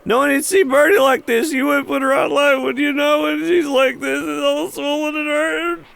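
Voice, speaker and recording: Man putting on an irate high-pitched farmer. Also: steady tone, moderate pace, vocal fry, a bit bright, exaggerated voice. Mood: scolding, upset. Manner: exclamatory, folksy. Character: irate rural chicken farmer, gruff and grieving.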